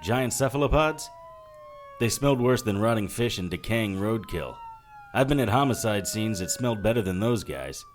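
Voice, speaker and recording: An actor playing a tough, sardonic 1940s detective hero in an audio drama, tossing off hardboiled quips. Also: male voice, on the low side, animated, normal speed, slight background noise, close to an everyday voice. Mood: wry, casual. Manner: wry, hardboiled, offhand quips. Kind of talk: full-cast period audio drama acting.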